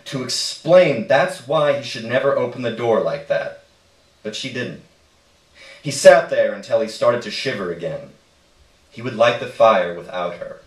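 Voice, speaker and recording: A man reading a short story aloud as narration at a live stage reading. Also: medium pitch. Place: indoor stage reading in a roomy space. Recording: echoey room, distant microphone.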